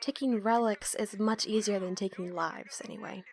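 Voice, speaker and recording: A woman's voice: medium pitch, fairly even intonation, normal speed, close to an everyday voice. A woman playing a snarky, put-upon young student accomplice in an audio roleplay, trading sarcastic banter. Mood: teasing. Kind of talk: audio drama roleplay dialogue.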